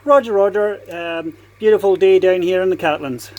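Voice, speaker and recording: A man's voice: high voice, moderate pace, very noisy. A man with a New Zealand accent making friendly, informal amateur radio calls with call signs and phonetic spelling.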